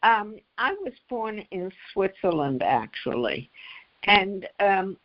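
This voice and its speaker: Older woman, interview guest, very slow pace, frequent halting pauses, slightly muffled recording. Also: medium pitch, very animated. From United States. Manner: halting, pausing mid-phrase. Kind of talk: conversational interview recollection. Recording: slightly dull, lo-fi audio.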